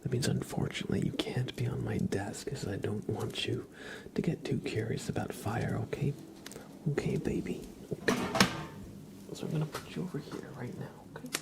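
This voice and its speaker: A man hosting an ASMR livestream, chatting casually to viewers in a hushed, soothing whisper. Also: medium pitch, moderate pace, creaky. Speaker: livestream host.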